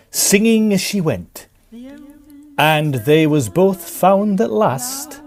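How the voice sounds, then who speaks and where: A man's voice: medium pitch, a little mellow. An actor playing a superstitious Scottish countryman who tells old legends in folksy dialect, in a period audio drama.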